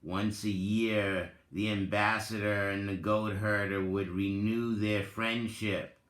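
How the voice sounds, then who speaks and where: A man giving a dramatic reading with spoken commentary, deliberate and halting, in short phrases. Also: on the low side, level tone, a bit bright. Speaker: reader, content creator. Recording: roomy, echoing voice recording.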